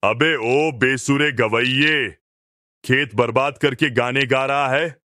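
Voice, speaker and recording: A man playing an angry male farmer who shouts gruff threats. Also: medium pitch, fairly even intonation, slow pace, no room sound. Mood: furious.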